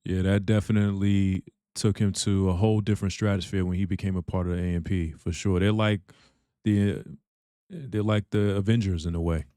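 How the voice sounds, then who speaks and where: A man with a deep voice hosting a reaction channel, chatting casually and filling his talk with "you know what I'm saying.". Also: fairly even intonation, moderate pace, mellow, clean tone, dry recording, clean studio sound.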